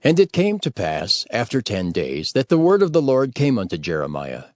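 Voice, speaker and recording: Expressive man narrating scripture. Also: mid-range voice, moderate pace, dry recording. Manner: formal, solemn storytelling. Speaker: audio Bible narrator. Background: faint music.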